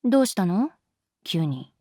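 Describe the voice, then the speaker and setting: A woman playing a cool, caring teacher in a Japanese voice drama, talking in a laid-back, gently teasing way. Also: mid-range voice, very animated, unhurried, no echo, clean studio sound. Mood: puzzled, gentle. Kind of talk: Japanese voice drama acting, conversational.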